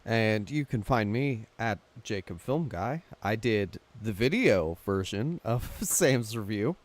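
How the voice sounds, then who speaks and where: A man's voice: fairly low pitch, expressive, slow pace. A man hosting a film podcast with casual, chatty talk.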